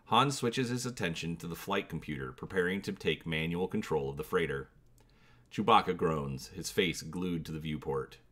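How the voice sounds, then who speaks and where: A man's voice: sits low, normal speed, a bit bright. A man hosting his channel, giving a dramatic reading of an adventure gamebook and inviting viewers to comment on choices.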